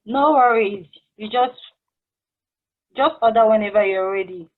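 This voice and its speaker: A woman playing a laid-back, candid fast-food worker in a stage play table read. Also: on the high side. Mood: easygoing. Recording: tinny, phone-like call sound.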